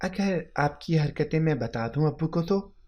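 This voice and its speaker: A man's voice: a little high, lively intonation, normal speed, lo-fi sound, voice pushed up, close to an everyday voice. Man reading a stern, suspicious young daughter-in-law. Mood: firm, challenging. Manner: firm, questioning, bitter. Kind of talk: dramatic storytelling.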